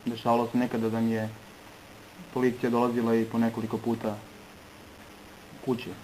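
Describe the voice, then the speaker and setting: Quick, flat-voiced man from Serbia. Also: medium pitch, a little hiss or hum, low-quality recording. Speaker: person giving a testimony. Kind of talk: personal testimony in short phrases for interpretation.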